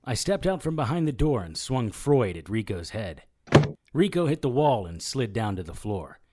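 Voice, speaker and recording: A sardonic, wisecracking detective played by a man for a noir audio drama. His delivery is animated and swings widely in pitch, with a dry, sarcastic edge to both narration and banter. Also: normal speed. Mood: wry, tense. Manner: wry, sarcastic. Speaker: lead character and narrator.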